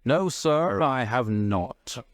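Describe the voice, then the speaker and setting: A man's voice: mid-range voice, expressive, drawn-out words, no echo. A man voicing a stately, imperious duke in a classic story, with a grave, sonorous manner. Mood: guarded. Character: stately, stony, imperious aristocratic male duke.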